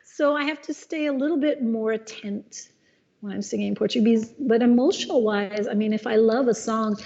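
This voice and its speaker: Mellow-voiced woman singer, thoughtful. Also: fairly high pitch, normal speed, muffled recording. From Los Angeles, USA. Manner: thoughtful, many restarts and fillers. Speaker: jazz singer, guest. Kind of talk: casual conversation.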